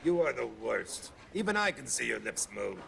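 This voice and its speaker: An actor voicing a crass, mouthy, menacing ventriloquist dummy in TV comic horror, gravelly, brash and heckling. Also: male voice, mid-range voice, sing-song, moderate pace, a bit bright, a little hiss or hum, heavily acted. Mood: mocking. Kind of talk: television comic horror acting.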